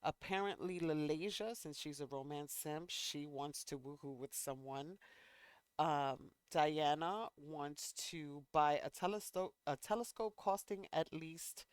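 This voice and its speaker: A woman's voice: low voice, unhurried, clean tone, dry recording. A woman hosting a let's play, chatting casually and unhurriedly with fillers, a bit sniffly. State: sniffly, slightly congested. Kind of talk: casual gameplay commentary.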